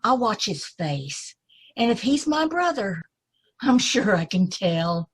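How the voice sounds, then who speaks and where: A woman's voice: mid-range voice, very animated, takes it slowly, slightly rough, full character voice. A woman playing an earnest, anxious, polite young woman in an amateur old-time radio play. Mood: earnest, hopeful.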